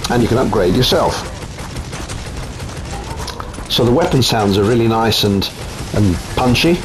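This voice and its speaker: A man's voice: medium pitch, animated, unhurried, background noise. A man from Italy with an Italian accent, chatting casually at home through gameplay commentary with frequent ums. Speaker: game reviewer.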